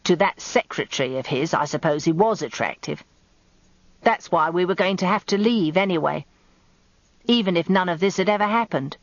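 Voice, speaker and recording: A woman's voice: fairly low pitch, moderate pace, dry recording, close to an everyday voice. A woman voicing a blunt, resentful working-class English housekeeper who is heavy and gasps as she grumbles, in a radio drama. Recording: dull lo-fi radio recording.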